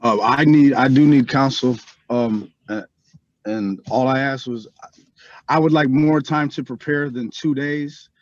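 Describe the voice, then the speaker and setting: A man's voice: medium pitch, steady tone, measured pace, dry recording. Man, father speaking for himself, pleading, restarts, dull dry video call audio. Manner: earnest, pleading, restarts often. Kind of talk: addressing the court.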